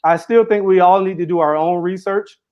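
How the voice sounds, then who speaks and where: A man's voice: high-pitched, brisk, lo-fi sound. A man giving an earnest interview as a guest author and speaker, running his sentences together with fillers. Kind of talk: casual interview conversation.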